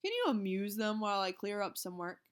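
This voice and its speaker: A woman voicing a firm, measured father who works at an advertising agency, in a storybook reading. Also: mid-range voice, big pitch swings, smooth, close to an everyday voice.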